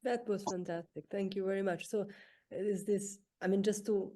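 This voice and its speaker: A woman moderating a poetry round table, recapping each poem and passing turns along. Her voice is mellow and dark-toned at a middle pitch, and she pauses often on fillers. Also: steady tone.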